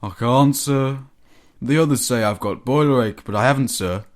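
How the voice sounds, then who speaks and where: A man's voice: mid-range voice, moderate pace, close to an everyday voice. A man doing a pompous big male steam engine. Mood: gloomy, worried. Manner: pompous, dismissive.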